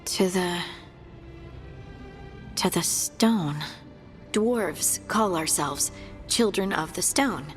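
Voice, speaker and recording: A young dwarf woman in a fantasy game, earnest and sincere. She talks slowly and a bit hesitantly over faint music. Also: fairly low pitch. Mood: wondering. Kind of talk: scripted video game dialogue.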